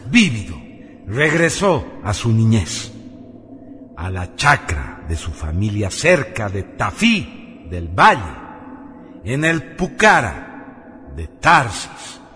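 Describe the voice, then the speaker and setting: A man narrating a scripted radio serial in a solemn, theatrical and deliberate way. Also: on the low side, very animated, sharp tone, slight background noise. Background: music.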